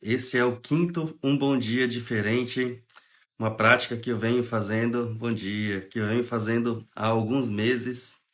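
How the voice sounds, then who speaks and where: Brief: young Brazilian man with a head cold, mid-pitched, casual livestream host who reads aloud and explains. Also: a little slow. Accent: Brazilian Portuguese. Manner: relaxed, chatty, interrupts reading to explain. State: has a cold, clearing throat. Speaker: host of a spiritist study livestream. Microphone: phone or webcam on a livestream.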